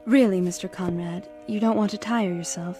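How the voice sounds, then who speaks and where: Actress as a gentle, uneasy alien woman, softly dark. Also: medium pitch, steady tone, moderate pace, soft background music, natural voice. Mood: uneasy, urgent. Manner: polite, hesitant, earnest. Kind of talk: radio drama acting.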